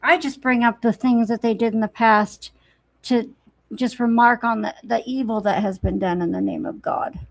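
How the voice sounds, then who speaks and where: A woman's voice: on the high side, fairly even intonation, moderate pace, creaky, dry recording. A woman chatting casually about her beliefs in a reflective, plain-spoken and opinionated way. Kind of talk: casual spiritual conversation.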